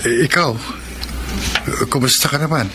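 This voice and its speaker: A man acts a regretful young Filipino man in a radio love drama. His mid-pitched voice is earnest and hesitant, prone to stammering, over clearly audible background noise. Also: measured pace, natural voice. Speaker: actor and narrator in a radio romance drama.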